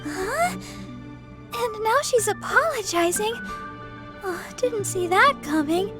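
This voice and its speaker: A woman's voice: very high voice, expressive, slow, exaggerated voice. A woman voicing a sweet, caring teenage girl in a video game, girlish, kindly and encouraging. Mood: surprised, curious. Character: sweet, caring teenage girl, gentle big-sister type. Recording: music behind the voice.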